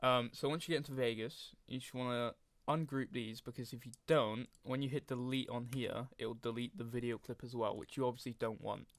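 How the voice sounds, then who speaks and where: A man narrating a casual software tutorial, speaking informally and hesitantly as he thinks aloud. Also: mid-range voice, fairly even intonation, a little fast, hardly any pauses, dry recording. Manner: frequent throat clearing. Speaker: tutorial host.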